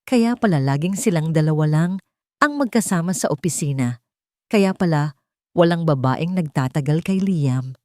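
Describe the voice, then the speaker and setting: A woman's voice: sits low, animated, moderate pace, dark tone, no room sound, studio quality. A woman narrating a first-person love story in an emotive, sentimental way.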